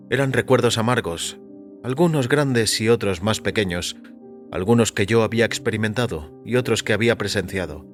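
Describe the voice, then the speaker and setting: A man narrating a scripted first-person story in a measured, literary way. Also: lowish voice.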